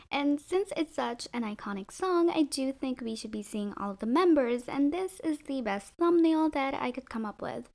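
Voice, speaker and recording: Hoarse high-voiced woman with sarcastic jabs. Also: a little mellow. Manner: sarcastic, opinionated, playful jabs. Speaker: YouTuber reviewing music video thumbnails. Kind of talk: scripted commentary voice-over.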